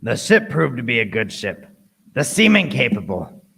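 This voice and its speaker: A cabin boy narrator played by a man tells a sea adventure for radio. The voice is forceful and bright, with a creaky edge at medium pitch. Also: huge pitch swings, normal speed, heavily acted. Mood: storytelling. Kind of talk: scripted dramatic narration.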